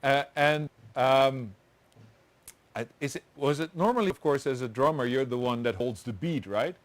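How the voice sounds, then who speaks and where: A man hosts a live online chat in a middle-pitched voice. He stumbles and restarts often, chuckling between questions. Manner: halting, many restarts and chuckles. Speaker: host. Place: home set with doors open.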